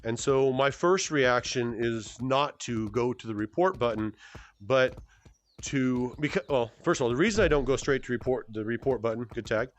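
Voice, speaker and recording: A man's voice: medium pitch, moderate pace, a little hiss or hum. Easygoing man in his forties, rambling. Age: 40s. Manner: rambling and self-correcting, with many fillers and restarts; easygoing and good-humored. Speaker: gaming YouTuber answering fan questions. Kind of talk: casual Q&A chat while playing a VR game.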